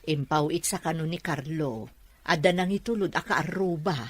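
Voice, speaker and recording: A woman's voice: deep voice, normal speed. A woman playing a concerned, welcoming older village mother in an audio drama, homely in manner. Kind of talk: Ilocano audio drama acting.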